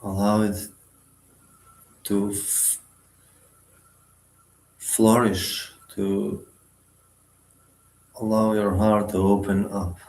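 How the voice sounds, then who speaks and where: A man leading a guided meditation, giving instructions in short, sparse phrases with long pauses between them. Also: fairly low pitch, little pitch movement, vocal fry, a little mellow, roomy sound, rough recording.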